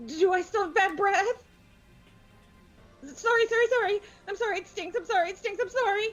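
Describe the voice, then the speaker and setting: A woman's voice: very high-pitched, moderate pace, muffled recording, faint music behind. A woman voicing a nervous, fawning teenage girl with a stutter in a game playthrough. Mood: anxious fawning. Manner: fawning, defensive, jittery. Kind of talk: voiced visual novel game playthrough.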